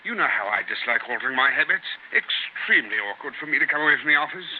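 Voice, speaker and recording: Fretful, pompous government man, mid-pitched, grave and fussy, narrow vintage radio drama sound. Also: expressive, fast, breathy edge. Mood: flustered, annoyed. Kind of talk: 1930s radio drama acting. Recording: tinny, noisy 1930s radio recording.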